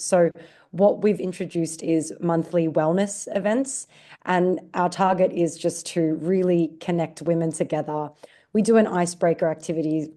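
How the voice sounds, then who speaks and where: Australian woman, low and warm, relaxed conversational podcast guest. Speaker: guest, marketing director. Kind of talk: podcast interview.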